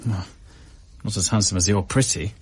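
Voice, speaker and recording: An actor playing a smitten young busker with a husky voice in a radio drama. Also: male voice, lowish voice, moderate pace, a little mellow. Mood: tender.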